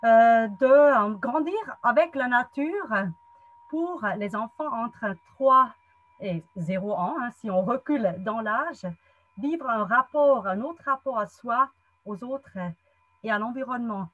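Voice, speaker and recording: A woman's voice: a little high, expressive, slow pace, a bit bright, no echo, muffled recording. Swiss German woman lecturing over a video call. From German-speaking Switzerland. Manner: friendly, explanatory, frequent hesitations. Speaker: guest speaker, psychologist and trainer. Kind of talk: online lecture presentation. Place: wood-panelled room at home. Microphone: webcam video call.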